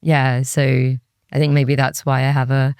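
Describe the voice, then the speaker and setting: Candid woman from Wales, creaky low-key delivery. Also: level tone, moderate pace, darkish tone, dry recording, clean studio sound. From Cardiff, Wales. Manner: candid, serious, peppered with "like" and quoted inner thoughts. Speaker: interview guest, music industry executive. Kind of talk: reflective personal interview conversation.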